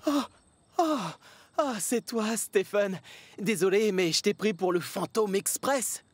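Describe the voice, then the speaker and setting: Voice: medium pitch, expressive, takes it slowly, noisy background. An actor voicing a naive, earnest, hesitant little male train engine in a children's cartoon. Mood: nervous. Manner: hesitant, wide-eyed. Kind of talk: children's cartoon dub acting.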